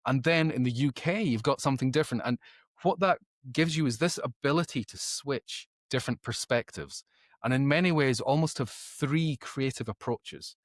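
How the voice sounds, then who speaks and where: A man's voice: mid-range voice, moderate pace, no echo, clean studio sound. A man speaking as a podcast guest in conversation, emphatic and opinionated, often repeating words as he thinks. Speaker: podcast guest, author and creativity educator.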